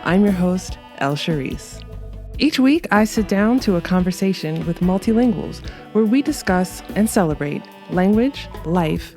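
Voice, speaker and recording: A woman's voice: fairly low pitch, animated, measured pace, a little mellow, faint music behind. Upbeat woman hosting a language podcast. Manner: upbeat, laughs often, enthusiastic. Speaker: podcast host. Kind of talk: conversational language podcast interview.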